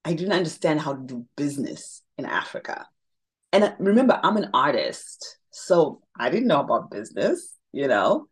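A middle-aged woman with a deep, husky voice tells stories in a casual interview. She is animated and laughs easily. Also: normal speed. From Zimbabwe. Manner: animated storyteller, laughs often. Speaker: guest, makeup brand founder.

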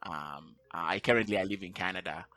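A man's voice: sits low, normal speed, sharp tone. A man from Ghana with a Ghanaian accent, speaking as a guest in a casual interview and often repeating words as he makes his points. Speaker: podcast guest, data analyst.

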